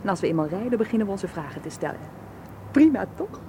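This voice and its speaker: A woman's voice: mid-range voice, sing-song, quick pace. A woman acting in a radio drama as a determined, businesslike amateur investigator who presses insistently. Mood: resolute. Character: determined, brisk woman investigating, taking charge. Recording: noisy radio play with vehicle ambience.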